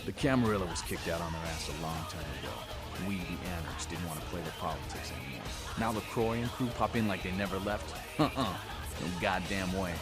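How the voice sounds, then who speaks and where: Casting: male actor as battle-worn American vampire rebel for a game, very low register, gruff and plain-spoken, music underneath. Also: a little fast, lightly acted. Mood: scornful, hard. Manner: blunt, streetwise, folksy slang. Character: gruff, defiant vampire rebel leader, streetwise veteran fighter. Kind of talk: video game dialogue acting.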